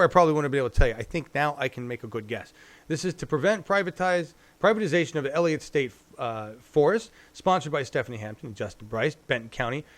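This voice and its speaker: A man hosting a live-stream talk show, rambling casually and thinking out loud as he goes. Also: on the high side. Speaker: show host.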